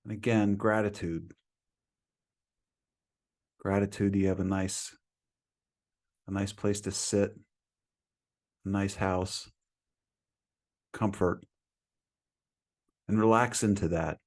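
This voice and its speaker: A man with a fairly low voice guides a meditation at a very slow pace. His delivery is calm and soothing, leaving long, restful gaps between short phrases and counts. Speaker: meditation teacher.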